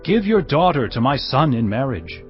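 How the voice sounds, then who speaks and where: Proud taunting king, voiced by a man; animated delivery, lo-fi recording under a score. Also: medium pitch, moderate pace, faint music behind, natural voice. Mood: scornful. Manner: mocking, lecturing. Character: proud scornful ancient king sending a taunt. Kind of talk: dramatized audio Bible acting.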